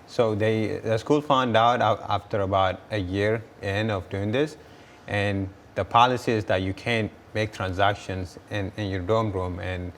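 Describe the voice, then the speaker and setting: A man's voice: fairly low pitch, fairly even intonation, unhurried, slight background noise. A young man, answering interview questions earnestly and often repeating words as he restarts. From Ethiopia. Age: 20s. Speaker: guest entrepreneur.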